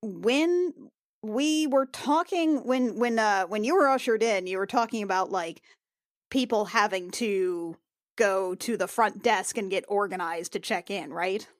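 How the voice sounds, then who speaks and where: A woman's voice: medium pitch, measured pace, no room sound, clean studio sound. A woman playing a tabletop game, talking through her character's plans with lots of hesitation. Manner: hesitant, many fillers while planning. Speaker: player.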